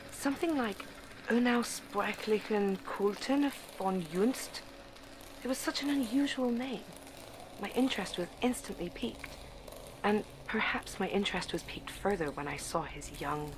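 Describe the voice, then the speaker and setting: An actress plays a young woman at university in an audio drama, naive and easily smitten. Her medium-pitched voice is earnest and confiding, like a diary being spoken aloud. There is clear ambient noise behind the recording. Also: natural voice. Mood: intrigued. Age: young adult. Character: eager, naive, infatuated young female university student. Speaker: actor.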